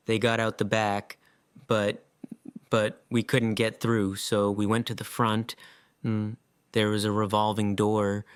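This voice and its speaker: Male survivor, acted by a man, lowish voice, dry sound, guilt-ridden, emotional, halting, for audio drama. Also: smooth, dry recording, natural voice. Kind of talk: fan audio drama acting.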